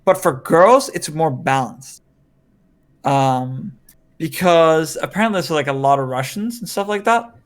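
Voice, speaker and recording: A man's voice: a little high, lively intonation, a little slow. A man from Denmark chatting casually on a livestream, rambling with many hesitations. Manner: rambling, hesitant, lots of fillers and restarts. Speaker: streamer.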